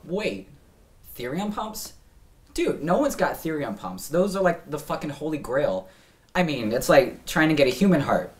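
Guy playing jittery young american street buyer, slangy and rambly, highish animated voice, mic kinda far off. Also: normal speed. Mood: flustered, rambling. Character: nervous, rambling young male small-time parts buyer. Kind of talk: table read of a film script. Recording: distant mic in a room.

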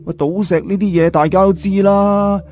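A man's voice: high voice, fairly even intonation, brisk, darkish tone, pitched above the performer's own voice. A man voicing a sceptical, grudging bystander in a story, needling and dismissive, with a Cantonese accent. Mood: scoffing.

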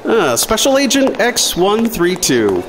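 Creaky, swooping sly government doctor acted by a man. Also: high-pitched, huge pitch swings, takes it slowly, background noise, heavily acted. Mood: sly, knowing. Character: sly, mysterious male government hack doctor. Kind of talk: 1950s-style radio drama acting.

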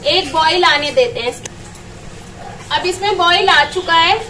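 Bright high-voiced woman cooking at home. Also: unhurried, distant mic, a little hiss or hum. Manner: step-by-step instructing. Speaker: home cook vlogger. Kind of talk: cooking demonstration. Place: home kitchen.

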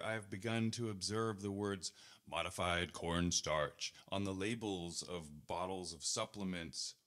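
Male narrator, lower-pitched voice, earnest and pointed, for a self-read opinion article. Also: fairly bright tone. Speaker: author reading own article aloud.

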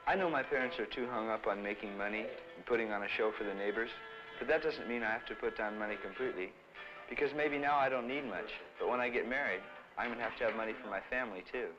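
Fast-talking low-voiced young man, music behind. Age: teens or young adult. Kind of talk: earnest opinion statement. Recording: dull old film with music behind.

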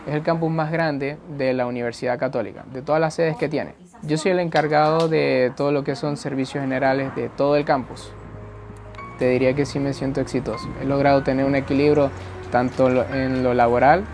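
A man, calm and reflective, mid-pitched. He talks seated in a high-rise room, with a faint hum of traffic behind him. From Venezuela. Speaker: civil engineer, campus services manager. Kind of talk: documentary interview. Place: indoors by window, high-rise view.

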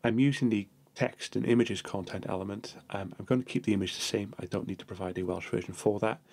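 Male tutorial narrator, lowish gravelly voice, a bit husky, steady step-by-step delivery. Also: fast talker.

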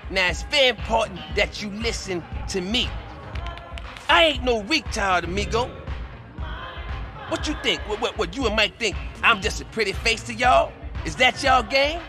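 An actor playing a boastful, fast-talking pimp in a video game, with a showy, slangy, melodramatic manner. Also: male voice, sits high, animated, over music. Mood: smug, insistent. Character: flamboyant, boastful, fast-talking male pimp and club boss. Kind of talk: video game cutscene acting.

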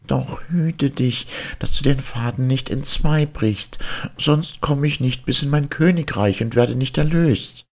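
A man's voice: medium pitch, a little slow, pitched above the performer's own voice. A man voicing an enchanted swan prince, solemn and pleading, in a fairy-tale reading.

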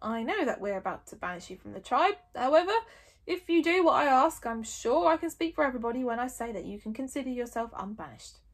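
A man's voice: very high voice, lively intonation, fast, exaggerated voice. A woman voicing a proud, blustering male Viking chief who tries to sound in control but is uneasy. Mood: awkward. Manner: loud, trying to sound in control.

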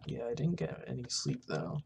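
A gamer giving casual, halting roleplay commentary, muttering to themself while playing, recorded on a noisy home mic with keyboard clicks. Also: mid-range voice, very animated, gravelly. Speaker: gaming video host. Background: background noise, keyboard typing and clicking.

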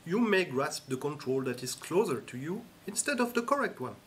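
A man giving scripted instructional narration in a measured, instructive way. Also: on the high side, big pitch swings, a little hiss or hum. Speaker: training narrator.

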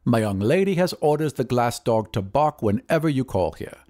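A man's voice: medium pitch, animated, moderate pace, dark tone, no echo, studio quality, natural voice. A man voicing a formal, deferential household butler in a storybook reading, politely cautioning. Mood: formal warning.

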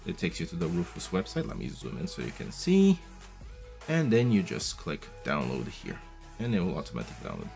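A man with a low voice gives a relaxed, chatty tutorial, moving patiently through each step. His pitch swings up and down a lot as he talks, and soft music plays under him. He sounds close to a desk mic in a small room. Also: brisk, gravelly. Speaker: tech video host. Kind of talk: casual tutorial walkthrough. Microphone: studio mic on a boom arm, wearing headphones.

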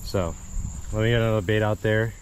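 A man's voice: lowish voice, steady tone, brisk, slight background noise. A man chatting easily and thinking aloud as he narrates a fishing trip at a marsh pond, recorded on a body-worn action camera. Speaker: fishing vlogger. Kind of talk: casual outdoor vlog narration.

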